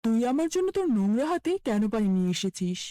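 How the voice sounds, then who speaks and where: Woman acting harsh scolding mother, mellow low-edged voice. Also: big pitch swings, fairly quick, no echo, clean studio sound. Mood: contemptuous. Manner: sharp, contemptuous scolding. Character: harsh, cruel, scolding middle-aged mother. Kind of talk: cartoon fairy-tale character voice.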